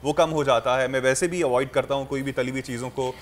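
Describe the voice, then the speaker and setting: A man's voice: medium pitch, steady tone, fast. A man, hosting a studio talk show and leading the chat in a friendly, conversational way. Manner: friendly, conversational, brief agreeing interjections.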